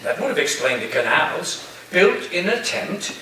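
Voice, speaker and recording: A man in a staged play reading, playing an eccentric, grandiose literature professor with deliberate, dramatic pauses. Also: high-pitched, sing-song, sharp tone, exaggerated voice. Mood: lecturing. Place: room, live staged reading, recorded from afar. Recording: distant roomy stage recording, noisy.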